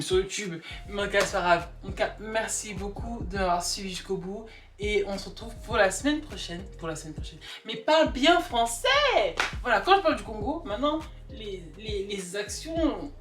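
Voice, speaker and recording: A woman gives her opinions in a casual, joking vlog style. She is animated and emphatic, often repeating words for punch. Her mid-pitched voice is picked up from a distance in an echoey room, with music playing. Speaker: vlogger. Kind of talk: casual comedic opinion chat to camera.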